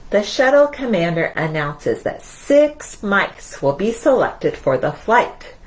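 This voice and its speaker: A woman's voice: mid-range voice, sing-song, takes it slowly, slight background noise, low-quality recording. A woman children's librarian leading a storytime, speaking to young children in an encouraging, singsong way. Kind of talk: children's storytime with movement and read-aloud.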